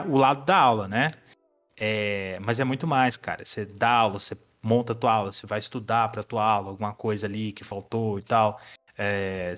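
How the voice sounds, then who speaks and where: A man's voice: medium pitch, a bit bright, highs cut off. A Brazilian man aged twenty-nine chatting casually as a history teacher, explaining things informally with slang and some swearing. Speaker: history teacher and podcast guest. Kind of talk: casual conversation.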